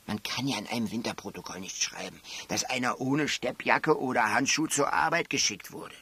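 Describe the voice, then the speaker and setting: An actor playing a wry labour camp prisoner in a radio play, hoarse, explaining things to a mate. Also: male voice, mid-range voice, normal speed, sharp tone, dry recording. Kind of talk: German radio play acting.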